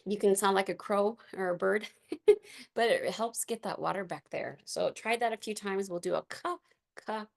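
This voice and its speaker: A woman with a raspy voice leading a gentle movement and meditation class, giving soft, unhurried instructions with fillers. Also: mid-range voice.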